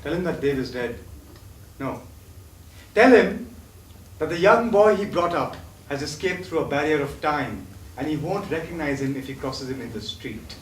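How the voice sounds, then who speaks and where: A man's voice: on the high side, animated, moderate pace, long pauses, a little hiss or hum, natural voice. A man playing a bitter young heir. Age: young adult. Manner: impassioned, declamatory. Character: bitter young man estranged from his rich family. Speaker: actor. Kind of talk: stage play acting. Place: theatre stage. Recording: echoey stage from far off, faint music.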